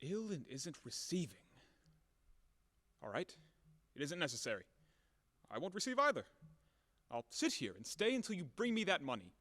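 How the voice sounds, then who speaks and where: A man's voice: on the high side, very animated, normal speed, long pauses, sharp tone, exaggerated voice. Blustering, wildly swinging male landowner played by an actor, echoey. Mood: stubborn, fuming. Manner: theatrical, exasperated ranting and grumbling. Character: blustering, furious, self-pitying male landowner demanding his money. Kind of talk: stage comedy acting in an audio play. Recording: echoey hall-like reverb.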